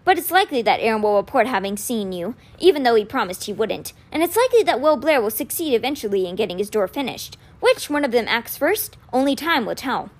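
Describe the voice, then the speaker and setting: A woman voicing a kindly, wise old man in an audiobook, patient and measured, with a gentle gravity. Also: a little high, very animated. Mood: gentle, reassuring. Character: kindly, wise old male magician mentor, gently grave.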